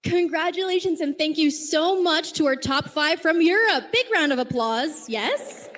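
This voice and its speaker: Slow, suspenseful woman pageant host. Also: sits high. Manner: drawn-out suspenseful reveals. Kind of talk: live stage announcing. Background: music.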